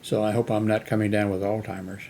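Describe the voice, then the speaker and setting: A man's voice: fairly low pitch, level tone, quick pace, mellow, a little hiss or hum. A man in his eighties talking in a rambling personal monologue, full of fillers and restarts. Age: 80s. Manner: meandering, many fillers and restarts. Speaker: channel host.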